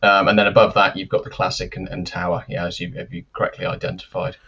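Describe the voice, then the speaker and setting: A man giving careful, explanatory interview answers as a company expert, with measured and hesitant phrasing, over lo-fi live-stream audio. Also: sits low, flat delivery, gravelly, sharp tone, slightly rough. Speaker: loudspeaker company representative, interview guest.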